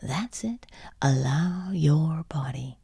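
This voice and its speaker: A woman leading a soothing guided meditation in a soft, breathy voice that often slips into a whisper, with slow, gentle, drawn-out phrases and long pauses. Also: low-pitched, expressive, no room sound, studio quality.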